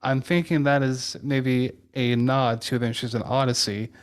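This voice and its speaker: A man's voice: mid-range voice, steady tone, normal speed. A man hosting a podcast, talking casually as he interviews a guest.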